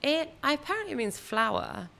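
A woman's voice: high voice, huge pitch swings, normal speed, gravelly, pushed and bright. An English woman in her late twenties chatting casually as an interview guest, in a chatty, self-deprecating way. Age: late 20s. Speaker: podcast guest who runs a cafe and helps run a gym. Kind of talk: casual chat. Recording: faint background noise.

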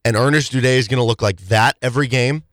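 A man with a hoarse, worn voice talks sports in a loose, rambling way, often restarting his sentences. His voice sounds dry and close, with no room around it. His pitch sits in an ordinary middle range. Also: fast, a bit bright, dry recording. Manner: rambling, many restarts and fillers. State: hoarse, voice partly lost. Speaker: sports talk show co-host. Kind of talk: casual sports chat.

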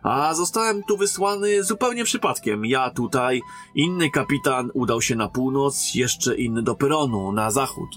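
An actor playing a formal, cautious captain sent as a royal emissary in a fantasy audio drama. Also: male voice, mid-range voice, expressive, moderate pace, hardly any pauses, fairly bright tone, soft background music, lightly acted. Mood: measured, formal.

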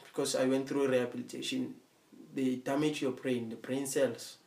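A man speaking as a podcast guest in halting, earnest speech, often checking in with the listener. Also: medium pitch, little pitch movement, gravelly, a little mellow. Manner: halting, repetitive, checks in with "you understand?". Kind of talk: casual conversation.

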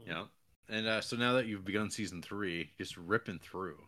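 A man's voice: fairly low pitch, moderate pace, sharp tone. A man co-hosting a podcast in casual chat, with a dry, jokey, mock-formal way of talking. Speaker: podcast co-host.

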